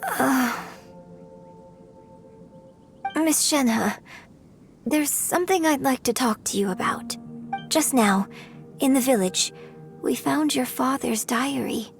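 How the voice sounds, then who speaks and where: High, creaky-edged polite young woman. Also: lively intonation, slow, full character voice. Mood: earnest. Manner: courteous, formal, careful. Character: polite, earnest young female opera performer. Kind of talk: video game voice acting. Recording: faint music behind the voice.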